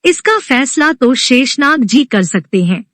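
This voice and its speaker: A woman's voice: high voice, sing-song, a little slow, a bit bright, no room sound, exaggerated voice. A woman voicing a humble male god with a formal, plain-spoken manner in a devotional storybook tale. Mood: deferring.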